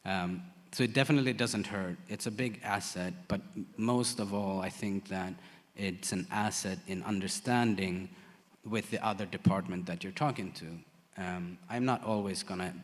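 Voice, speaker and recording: A man who works as a lighting designer, answering audience questions in a halting way with many fillers and restarts. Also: low-pitched. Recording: long hall reverb.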